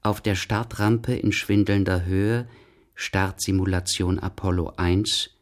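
A man with a standard German accent reads scripted literary radio narration in a measured, deliberate way. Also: low-pitched, fairly even intonation.

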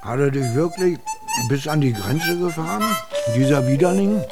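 A man's voice: on the high side, expressive, normal speed, vocal fry, over loud music. A man playing a pompous, scheming Soviet leader in a German radio drama, speaking in a declamatory way. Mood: grumbling.

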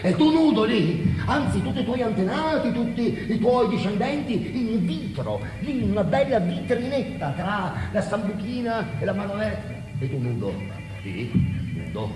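An actor playing a mocking, grotesque, ranting guardian in an experimental theatre monologue, taunting with dramatic pauses. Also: male voice, high voice, big pitch swings, music in the background. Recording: echoey hall with long reverb.

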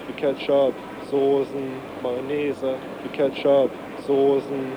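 A man's voice: medium pitch, level tone, takes it slowly. A man casually setting out his opinions in wordy, slightly pedantic phrasing. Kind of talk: casual reflective opinion in an audio collage. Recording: noisy old cassette, outdoor ambience.

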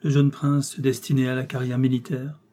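A man's voice: mid-range voice, little pitch movement, a little fast, mellow, close to an everyday voice. A man voicing a composed, polite investigator who puts formal, probing questions in an audiobook. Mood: courteous, probing. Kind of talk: audiobook character dialogue.

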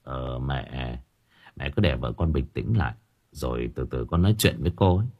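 A man voicing a skeptical young husband who dismisses talk of ghosts, low and muttering. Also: moderate pace, vocal fry, husky, phone-quality sound, exaggerated voice. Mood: dismissive. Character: skeptical young husband, police officer, curt.

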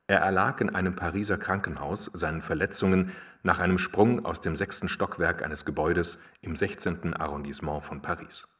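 An actor playing a sober radio news announcer reading a formal bulletin in a radio play. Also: male voice, sits low, fairly even intonation, fairly quick, fairly bright tone, recorded from a distance, slight background noise.

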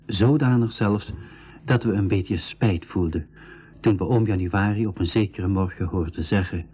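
Measured, reflective travel narrator, lowish voice, radio serial storytelling, slightly dull sound. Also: male voice, husky. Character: boy narrator recounting his travel adventures, measured and reflective. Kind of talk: scripted narration in a school radio drama. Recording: dull 1980s radio recording.

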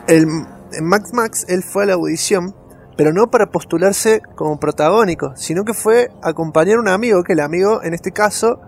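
Higher-voiced, creaky Argentine man. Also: brisk, faint music behind. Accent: Argentine Spanish. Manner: colloquial, emphatic repetition. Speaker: podcast co-host. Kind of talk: casual film trivia chat.

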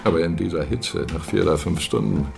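An actor playing a matter-of-fact embalming doctor in a radio drama, bluntly clinical and explanatory, with a gravelly voice. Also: male voice, sits low, a little fast, a little mellow. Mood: brisk, clinical. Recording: music behind the voice.

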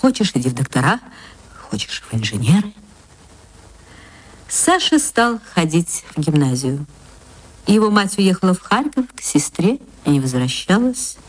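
A woman reading a literary story for radio as narrator, with an expressive, dramatic and deliberate delivery. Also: on the low side, husky, poor audio.

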